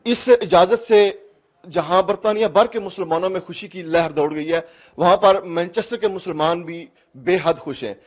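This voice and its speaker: High-pitched male field reporter. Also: normal speed. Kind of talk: news report to camera.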